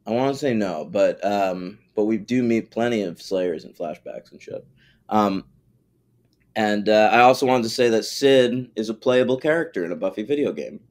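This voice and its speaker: A lower-voiced man in relaxed podcast chat, swearing freely and dropping show trivia. He sounds easygoing, with a low voice and a plain conversational delivery. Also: normal speed. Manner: laid-back, profane, trivia-minded. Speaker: podcast co-host. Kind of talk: casual episode recap chat.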